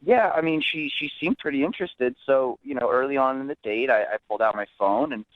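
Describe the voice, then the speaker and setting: A man's voice: medium pitch, fairly quick, no echo. A male caller on a narrow, tinny phone line with a mid-range voice and no room sound. He talks casually and earnestly, stumbling and repeating words as he goes.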